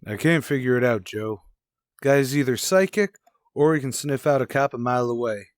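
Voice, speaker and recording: A man's voice: medium pitch, normal speed, no echo, clean studio sound, lightly acted. A man playing a weary, dogged police detective sergeant in a radio crime drama, speaking plainly.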